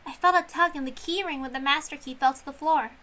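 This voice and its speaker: A woman reading a visual novel aloud in expressive story narration. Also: sits high, fast.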